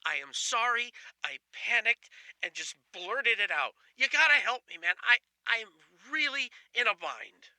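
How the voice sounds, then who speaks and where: Male actor as desperate office coworker, very bright high voice, apologetic, animated, dry sound, audio drama. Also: a little slow, no room sound, exaggerated voice, poor audio. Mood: frantic, pleading. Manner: pleading, apologetic. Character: frantic, pleading male coworker shirking his work.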